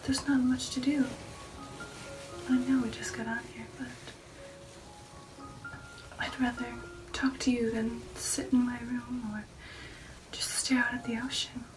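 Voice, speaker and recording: A woman plays a refined lady vampire in an ASMR roleplay, whispering slowly and intimately in a breathy, fairly high voice. Her speech is genteel and affectionate. The voice sits a little way from the mic, with music underneath. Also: level tone, far from the mic, natural voice, poor audio. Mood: coy, curious. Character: refined, affectionate female vampire recounting an old shipboard romance. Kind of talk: ASMR roleplay, personal attention.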